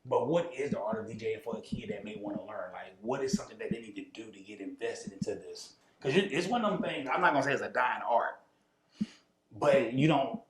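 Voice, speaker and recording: In a roomy, echoing recording, an American man with a mid-pitched voice conducts an interview. His questions come in casual, colloquial conversation. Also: expressive, fairly quick. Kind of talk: casual street-level interview chat. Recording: echoey room sound.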